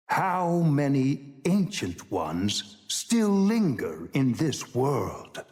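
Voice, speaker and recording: A man's voice: medium pitch, a little mellow. A man playing an ancient, imperious sage with divine power in a video game, speaking in stern, solemn, grandiose proclamations. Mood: grave, wistful. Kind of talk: theatrical game voice lines. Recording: long cavernous reverb on the voice.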